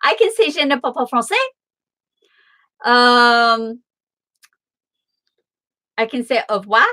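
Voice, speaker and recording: A woman from Southern Illinois hosts a tarot livestream, chatting and answering viewer comments. Her voice sits a little high and sounds bright and forceful. She talks slowly, and the sound is dry and close. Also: lively intonation. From Southern Illinois, USA. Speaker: tarot reader and livestream host. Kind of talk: casual livestream chat with viewers.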